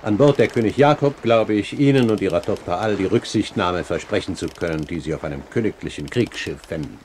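An actor playing a courteous, assured older pirate captain, speaking formally and eloquently in a 1970s German radio play. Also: male voice, low voice, brisk, a little mellow, a little hiss or hum, lightly acted. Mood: smooth, reassuring.

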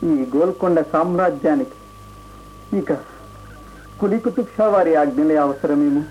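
An actor playing a loyal, deferential royal minister who pleads earnestly and respectfully in a radio play. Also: male voice, fairly high pitch, moderate pace, natural voice. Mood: earnest pleading. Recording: dull radio recording, faint hiss.

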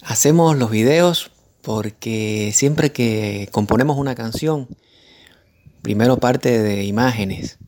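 A man with a Cuban accent giving explanatory interview answers in short, measured phrases, in a conversational style. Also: medium pitch, darkish tone. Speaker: interview guest, musician and composer.

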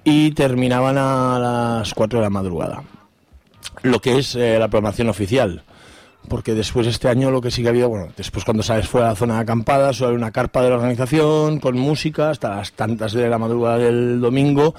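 A man's voice: medium pitch, brisk, a little mellow, dry recording. Man from Spain chatting in dry studio sound. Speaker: radio show host. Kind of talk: casual live radio chat. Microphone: close studio radio microphone.

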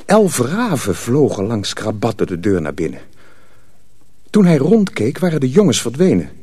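A man narrating a dramatised story as a scripted, dramatic storyteller, with a husky voice. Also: mid-range voice, wildly swooping pitch, normal speed, warm tone.

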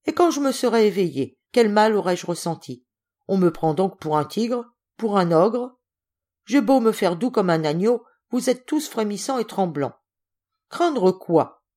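A woman's voice: mid-range voice, big pitch swings, moderate pace, darkish tone, pitched above the performer's own voice. Storybook old military man, played by a woman, hot-tempered, imperious, exclamatory, swooping intonation, kindly underneath. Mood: indignant. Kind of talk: acted storybook reading.

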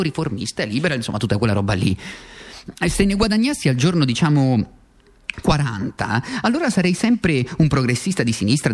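A man performing a radio theatre monologue as a worn-out, bitter and ironic former communist, declaiming with dramatic swings. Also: a little high. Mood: ironic reasoning.

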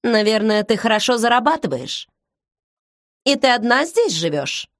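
A woman's voice: fairly high pitch, big pitch swings, normal speed, dry recording, studio quality. A woman voicing a prying, predatory young woman. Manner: prying, insistent questions. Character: nosy, predatory young woman with a forced smile. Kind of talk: audiobook character voice.

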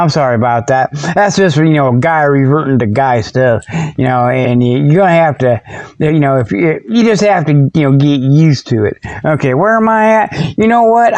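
Man, comedic show host, slightly high voice, folksy rambling monologue, jokey and self-amused, very dry sound. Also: darkish tone, no echo. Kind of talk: rambling casual humorous monologue. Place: outdoors behind his garage.

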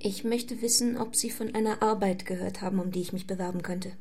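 A woman acts a poor but proud young governess in a radio drama. Her medium-pitched voice is composed and formal, holding back behind careful, independent reserve. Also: fairly even intonation, fairly quick, lightly acted. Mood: polite, composed. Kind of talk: German radio play acting.

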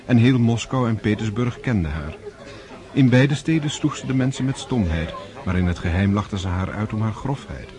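An actor narrating a radio drama as a measured storyteller of an aristocratic saga. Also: male voice, lowish voice, expressive, a crowd in the background, natural voice.

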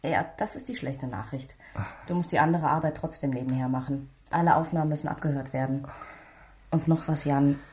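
A woman's voice: sits low, steady tone, brisk, warm tone, lightly acted. A woman playing an experienced police detective in a radio crime drama, dry and matter-of-fact, a teasing but stern mentor. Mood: confiding.

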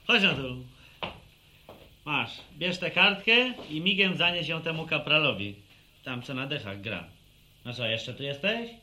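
A man's voice: a little high, lively intonation, a little slow, long pauses, sharp tone, slight background noise, heavily acted. An actor playing a scheming, bossy young village man who cajoles and teases in colloquial rural speech in a radio drama. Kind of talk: Polish radio drama acting.

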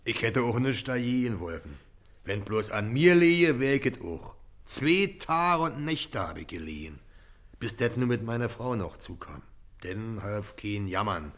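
Radio play working-class boatman: Berlin dialect, stubborn, slyly innocent, animated intonation, plain folksy delivery. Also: fairly low pitch, measured pace. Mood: folksy, matter-of-fact.

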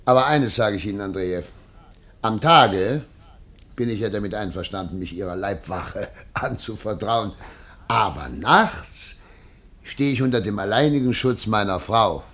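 An actor playing a jovial, trusting older governor who speaks in a teasing, avuncular way in a radio play. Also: male voice, on the low side, expressive, unhurried, fairly bright tone. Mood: jovial, mock-stern. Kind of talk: German radio play acting.

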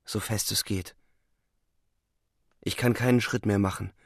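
A weary young soldier tells of home leave in a low, gravelly voice. He is brooding and restrained, speaking in halting phrases like an inner monologue, with music behind him in a radio drama. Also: fairly even intonation, dry recording, clean studio sound. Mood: subdued, overwhelmed. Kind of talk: literary radio play narration, inner monologue.

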